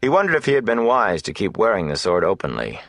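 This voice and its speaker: A man narrating a fantasy audiobook, telling the story in a measured, deliberate, dramatic way. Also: on the low side, wildly swooping pitch, gravelly, fairly bright tone, no room sound, studio quality, faint music behind.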